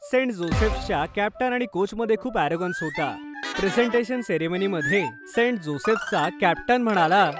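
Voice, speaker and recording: High-pitched man narrating a children's story. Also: lively intonation, normal speed. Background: background music.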